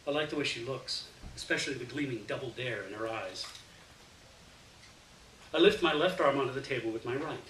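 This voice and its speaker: Bright-voiced man reading fiction, roomy and distant. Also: medium pitch, a little fast, long pauses. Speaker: story reader. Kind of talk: live literary fiction reading, first-person narration. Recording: roomy, distant mic, faint hiss.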